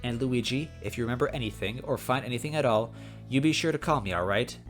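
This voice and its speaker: A man's voice: medium pitch, quick pace, soft background music, natural voice. A man voicing a tired, matter-of-fact female police chief with a stern manner in an amateur story reading. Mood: weary, firm. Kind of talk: amateur story read-aloud.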